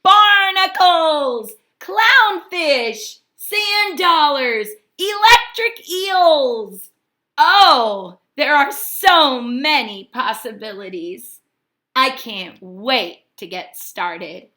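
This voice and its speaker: A woman voicing a friendly, eager male hermit crab from a storybook, with a polite, emphatic, drawn-out delivery. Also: high voice, lively intonation, pushed and bright, pitched above the performer's own voice, exaggerated voice. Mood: excited.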